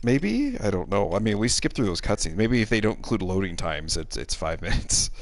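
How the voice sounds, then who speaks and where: Male streamer, fairly deep register, meandering hesitant delivery, offhand conversational tone. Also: big pitch swings, fairly quick, vocal fry. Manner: hesitant, meandering, hedging phrases. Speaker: game streamer, co-host. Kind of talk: casual chat while streaming a game.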